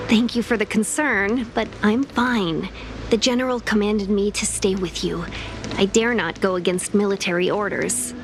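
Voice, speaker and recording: A woman's voice: mid-range voice, fairly even intonation, normal speed, over music, close to an everyday voice. A woman voicing a polite, brave, well-traveled young envoy in a video game, courteous and composed. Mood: polite, reassuring. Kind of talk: English video game voice acting.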